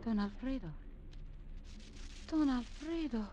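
Panicky senorita, high voice, breathless, 1950s radio play. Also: female voice, slow pace, mellow, slight background noise. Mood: frantic. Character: frightened young woman on a ship. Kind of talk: 1950s radio drama acting.

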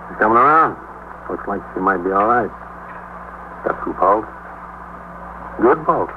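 A man playing a brisk, reassuring emergency rescue worker giving clipped instructions in a 1950s radio drama. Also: lowish voice, lively intonation. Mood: brisk, encouraging. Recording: dull, noisy 1950s radio recording.

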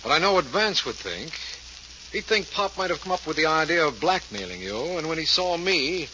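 An actor playing an earnest law official in a 1940s radio drama, formal, polite and firm. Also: male voice, on the high side, sing-song, normal speed. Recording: noisy, muffled 1940s radio recording.

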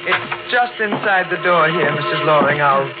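Thin vintage radio sound with music behind carries a secretive nurse played by a woman. She speaks with deliberate, conspiratorial urgency, in the acting style of 1940s mystery drama. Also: sits low, phone-quality sound, lightly acted.

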